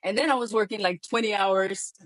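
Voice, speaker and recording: A woman from the Dominican Republic with a Dominican accent, a guest telling stories in a relaxed interview and laughing often. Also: mid-range voice, fairly quick, poor audio. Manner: animated storyteller, laughs often, acts out quoted people. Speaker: guest, real estate founder. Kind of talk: conversational interview.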